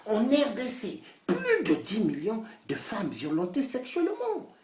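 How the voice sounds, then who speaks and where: A woman delivering an impassioned political rant, fiery and repetitive, swinging from loud outbursts to hushed whispers. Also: on the high side, huge pitch swings, unhurried, background noise. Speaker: political activist. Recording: roomy echo, voice far from the mic.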